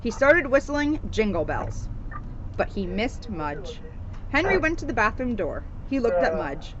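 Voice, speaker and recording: A woman reading a children's storybook aloud in an animated, expressive way, with emphasis on the sound words. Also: a little high, measured pace. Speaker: storybook reader, police officer. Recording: noisy, dull outdoor recording.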